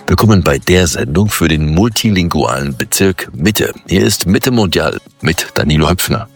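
Male radio host, very low gravelly voice, slow playful presenting, faint music behind. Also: very animated.

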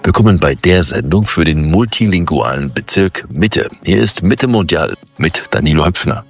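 A male radio host with a low, husky voice presents and asks interview questions. The sound is dry and close, with some music in the programme. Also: very animated, normal speed.

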